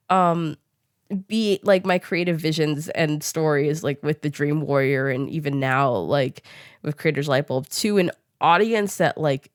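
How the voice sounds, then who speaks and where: A young woman hosting a podcast, chatting casually in a giggly, animated way peppered with "like". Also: fairly low pitch, dry recording, studio quality. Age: young adult. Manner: giggly, animated, talks with frequent "like". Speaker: podcast host. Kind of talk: casual conversational interview chat.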